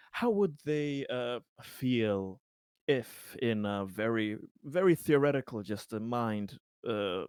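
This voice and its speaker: A man's voice: on the low side, smooth, dry recording, clean studio sound. A man playing a tabletop roleplaying game, improvising hesitantly and stammering as he searches for words. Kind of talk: improvised play, describing his character in third person.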